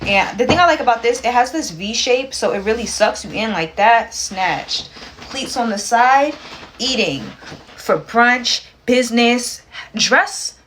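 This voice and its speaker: A woman's voice: a little high, lively intonation, unhurried, fairly bright tone. Sassy young woman, noisy recording. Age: 20s. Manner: sassy, self-assured, slangy. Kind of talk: casual outfit showcase. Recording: noisy, with clicks.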